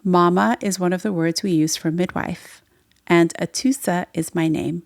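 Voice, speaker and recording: A woman's voice: on the low side, steady tone, normal speed, creaky, dry recording, clean studio sound. A woman whose first language is Farsi, hosting her own podcast and telling her story in an earnest, reflective way. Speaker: podcast host and midwife. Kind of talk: solo podcast monologue.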